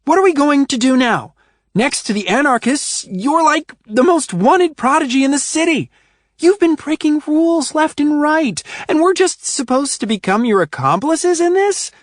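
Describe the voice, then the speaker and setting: A woman playing a fiery young heroine from an audiobook, voice pushed high and shrill. She scolds with big, swooping rises and falls, recorded close and dry. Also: lively intonation, moderate pace, no echo. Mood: exasperated.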